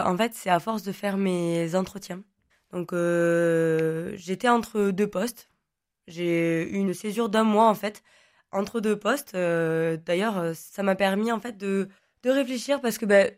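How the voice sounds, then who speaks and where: A young woman in her twenties from the Languedoc, whose first language is Occitan, answering interview questions in a chatty, rambling way with many fillers and restarts. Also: medium pitch. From Languedoc, southern France. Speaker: guest, online content creator.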